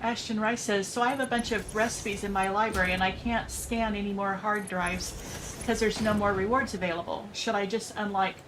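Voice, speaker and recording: A woman's voice: mid-range voice, fairly even intonation, fairly quick, background noise. A woman reading viewer comments aloud on a gaming livestream, friendly and matter-of-fact. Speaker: livestream chat reader.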